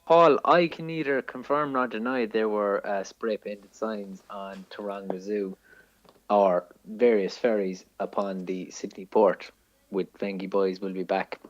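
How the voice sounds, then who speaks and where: A man's voice: fairly low pitch, steady tone, measured pace, muffled recording. A man co-hosting a comedy podcast over a remote call, chatting casually in an emphatic, mock-serious way. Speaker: podcast co-host.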